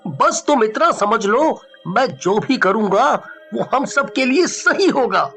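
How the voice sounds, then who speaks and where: A woman's voice: a little high, animated, slow, a bit bright, faint music behind, heavily acted. A woman playing a brave, determined schoolboy in a horror story, speaking in an earnest, emphatic way.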